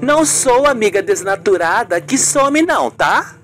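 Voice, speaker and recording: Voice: medium pitch, animated, measured pace, a bit bright, faint music behind, voice pushed up. A performer voicing a scheming woman posing as a sweet new friend in an amateur radio soap opera. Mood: sweetly chummy.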